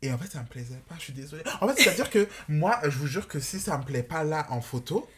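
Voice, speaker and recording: A man's voice: fairly high pitch, lively intonation, fast talker, a bit bright, a little hiss or hum. Rambling, slangy man in his twenties. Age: 20s. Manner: rambling, slangy, mixes in English words. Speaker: podcast guest. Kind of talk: casual chat.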